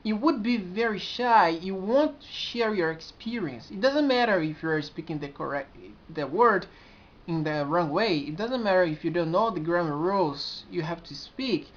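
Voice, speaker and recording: A man in his twenties with a Brazilian accent talks straight to the camera in an earnest, halting way, often restarting his sentences. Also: high voice, fairly bright tone. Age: 20s. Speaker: community administrator. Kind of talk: casual vlog to camera. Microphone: built-in camera mic. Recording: dull lo-fi camera audio.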